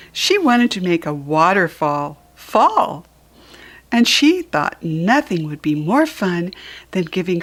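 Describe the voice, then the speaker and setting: An American woman narrates a children's picture book with a highly animated, swooping pitch. Her pace is slow and deliberate, as if teaching young readers to follow along. She is expressive and adds small asides for the listener. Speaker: storybook reader.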